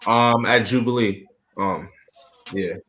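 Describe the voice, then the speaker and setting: Hesitant lowish-voiced guest musician. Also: male voice, takes it slowly, a bit bright, faint music behind. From Massachusetts, USA, born in Dominica. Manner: hesitant, many "um"s. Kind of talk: casual chat.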